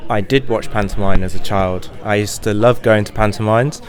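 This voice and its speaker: Upbeat man, lowish friendly voice. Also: steady tone, moderate pace. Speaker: actor in his first pantomime, interviewed. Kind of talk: casual interview chat.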